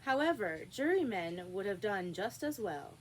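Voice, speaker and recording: A woman reading a children's classic aloud as an expressive storybook narrator. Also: medium pitch, normal speed, a little hiss or hum, low-quality recording. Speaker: storybook reader.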